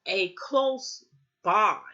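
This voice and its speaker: A woman's voice: mid-range voice, drawn-out words, sharp tone, lo-fi sound. A woman recapping a TV episode in a loose, rambling way, with lots of fillers and restarts. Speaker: TV show reviewer.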